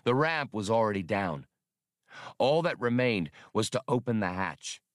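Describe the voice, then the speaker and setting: A man's voice: fairly low pitch, expressive, measured pace, dry recording, studio quality. A man reading a novel aloud as an audiobook narrator, in a scripted storytelling style.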